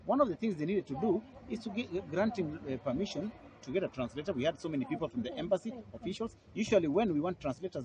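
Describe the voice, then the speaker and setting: A man, a lawyer speaking to the press outdoors at an airport, indignant and emphatic, repeating phrases for force. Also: fairly high pitch. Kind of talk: press interview. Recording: noisy outdoor field recording.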